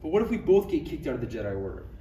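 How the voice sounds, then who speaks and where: A man's voice: fairly high pitch, sing-song, racing, warm tone. A man playing an anxious, earnest Jedi master, hesitant and flustered, in a read-through of a parody script. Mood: worried. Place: room at a table read. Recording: roomy distant recording with clear noise.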